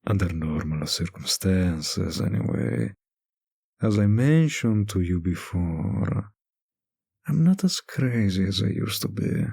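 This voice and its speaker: A man playing a teasing, possessive male vampire in an intimate roleplay addressed to the listener, with a husky, gravelly voice and a lingering delivery. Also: sits low, animated, takes it slowly, dark tone, full character voice.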